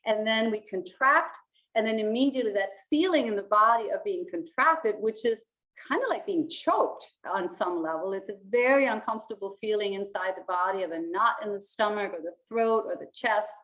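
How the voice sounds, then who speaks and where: A woman, teaching to camera from a small room in a calm, reflective way. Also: medium pitch, moderate pace, poor audio. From Denmark. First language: Danish. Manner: calm, reflective, gestures a lot with her hands. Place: small indoor room with a plain wall.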